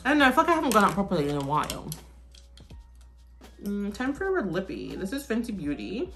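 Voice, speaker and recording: A woman's voice: medium pitch, huge pitch swings, a little fast, fairly bright tone, faint music behind. A young woman from the UK chatting casually through a get-ready-with-me Q&A, full of "like" and restarts. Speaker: vlogger, teacher in Korea.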